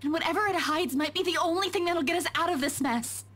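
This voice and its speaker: A woman's voice: very high voice, fast talker, fairly bright tone. A woman playing an anxious but determined young woman in a visual novel, hesitant and pleading. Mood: urgent, pleading. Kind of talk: dramatic visual novel voice acting.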